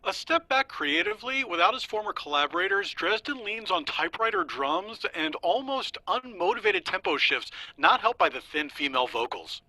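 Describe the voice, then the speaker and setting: An actor playing a blunt, dismissive music critic calling in to a radio show, in a comedy table read. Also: male voice, high voice, very animated, moderate pace, creaky, hardly any pauses, pushed and bright, no room sound, full character voice, rough recording.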